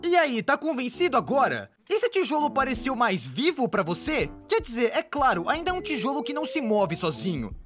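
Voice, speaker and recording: A high, very animated young cartoon narrator , voiced by a woman, chats through an explainer. The delivery is cheeky, exclamatory and casual, aimed right at the viewer, with light music underneath. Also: a little fast, hardly any pauses. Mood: playful, explaining. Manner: chatty, exclamatory, addresses the viewer directly.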